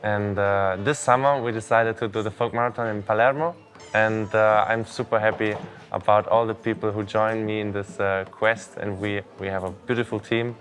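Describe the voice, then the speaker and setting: A man with a fairly low voice speaks about the festival he helped run, pausing often with little fillers. Folk music plays clearly in the background. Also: steady tone, fairly bright tone. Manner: hesitant, with many fillers. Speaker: festival organizer. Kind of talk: festival interview.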